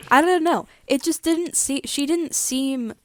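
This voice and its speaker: A woman's voice: sits high, takes it slowly, no echo. A woman co-hosting a podcast, talking casually as she discusses a story. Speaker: podcast co-host.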